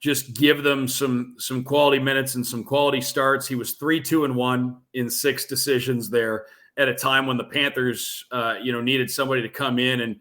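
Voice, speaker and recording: A man speaking as a guest radio play-by-play announcer, giving measured, detailed sports analysis in a conversational way. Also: mid-range voice, flat delivery, bright, forceful tone.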